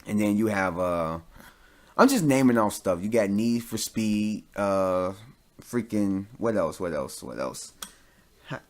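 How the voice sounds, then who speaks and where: A man with a fairly low voice talks as a podcast host. He rambles through his opinions casually, thinking aloud in loose, informal language with the odd bit of swearing. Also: lively intonation, unhurried, darkish tone.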